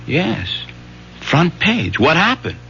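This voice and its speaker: A man's voice: high voice, huge pitch swings, takes it slowly, sharp tone, heavily acted. An actor playing an earnest, troubled young man, a hesitant son, in an old-time radio drama. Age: young adult. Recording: dull, faintly noisy old radio recording.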